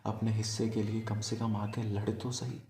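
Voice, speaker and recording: A man performing a poetic love monologue as a storyteller, in a wistful, deliberate manner with pauses. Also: fairly low pitch, completely flat delivery, a little mellow, roomy sound. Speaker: spoken-word storyteller. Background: music.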